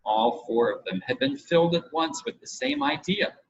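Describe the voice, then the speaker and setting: A man reading a children's story aloud as scripted audiobook narration, in an expressive, measured way. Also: on the high side, vocal fry. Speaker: audiobook reader. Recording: dull audio with faint noise.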